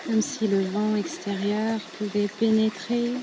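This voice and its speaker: A woman leading a guided yoga nidra meditation in a soothing, unhurried way, with short phrases and long pauses. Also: mid-range voice, flat delivery, noisy background. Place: outdoors at a riverbank. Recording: outdoor recording with loud running river water. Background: flowing, trickling stream water.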